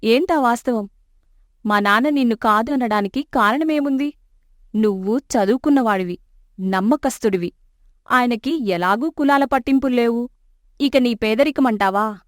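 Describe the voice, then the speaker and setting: A woman's voice: on the high side, moderate pace, pauses often, dry recording, clean studio sound, lightly acted. A woman voicing a loving young daughter in a storybook tale, devoted and pleading.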